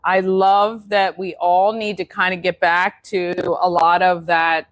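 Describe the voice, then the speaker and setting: A woman hosting a local interview show, upbeat and chatty with quick yeahs and enthusiastic remarks. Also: lowish voice, flat delivery, dry recording. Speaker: host.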